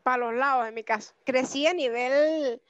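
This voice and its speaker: A Venezuelan woman with a high voice throws in playful, teasing remarks in casual chat. The recording is dry and a little dull, with little room sound. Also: no echo. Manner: teasing, quick jokes.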